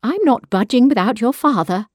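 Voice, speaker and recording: A woman's voice: on the high side, lively intonation, moderate pace, no room sound, studio quality, pitched above the performer's own voice. A woman voicing a stubborn, defiant middle-aged mother refusing to leave.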